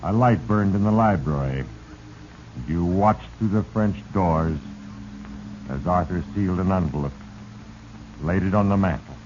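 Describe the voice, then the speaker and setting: A man playing Fate, a sinister all-knowing narrator in a 1940s radio drama, with a deliberate, accusing manner. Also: sits low, darkish tone. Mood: ominous. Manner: deliberate, heavy-handed, accusing. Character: Fate, sinister omniscient male narrator manipulating doomed men. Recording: muffled, noisy 1940s radio recording.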